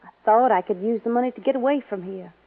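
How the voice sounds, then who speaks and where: An actress playing an unhappy, money-hungry wife in a languid, flirtatious 1950s radio drama style. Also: female voice, fairly high pitch, fast talker, narrow, tinny audio, close to an everyday voice. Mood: resigned, pleading. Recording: band-limited old 1950s radio recording.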